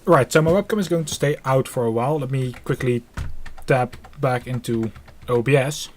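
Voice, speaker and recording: A male game streamer talking casually and haltingly, half to himself, on a live stream. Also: mid-range voice, darkish tone.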